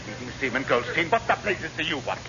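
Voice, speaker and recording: An actor playing an exasperated, officious senior naval officer who blusters and sputters in a vintage radio comedy. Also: male voice, a little high, sing-song, fast. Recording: dull, noisy old radio recording.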